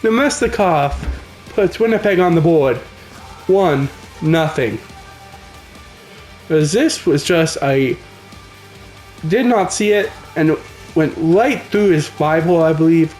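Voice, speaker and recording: Raspy man calling a hockey game. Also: a little high, takes it slowly, long pauses, echoey room, faint music behind. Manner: excitable, calls the action as it happens. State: raspy voice from a cold. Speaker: gaming channel host. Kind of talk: casual play-by-play commentary over a hockey video game.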